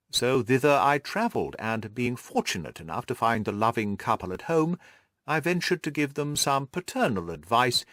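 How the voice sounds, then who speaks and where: Audiobook gentleman detective, played by a male reader: assured, methodical, very animated pitch movement, close dry sound. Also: no room sound. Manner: precise, methodical, didactic explaining. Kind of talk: audiobook character dialogue.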